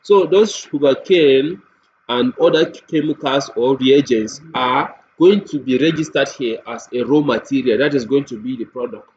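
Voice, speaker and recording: A man with a Nigerian accent giving a software tutorial walkthrough, explaining step by step and repeating points with everyday examples. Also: mid-range voice, moderate pace. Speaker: software demonstrator. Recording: dull lo-fi mic with faint noise.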